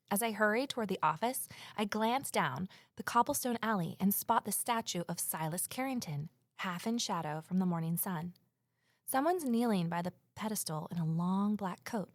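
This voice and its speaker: An actress playing a determined, resourceful amateur sleuth in an audio drama, brisk and persuasive as she narrates and talks. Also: lowish voice, no room sound. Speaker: narrator and lead character.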